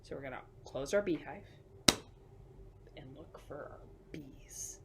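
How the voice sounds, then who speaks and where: A woman hosting a children's storytime, reading picture books aloud with emphatic delivery and hushed asides. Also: sits low, measured pace, very noisy. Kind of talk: picture book read-aloud for young children.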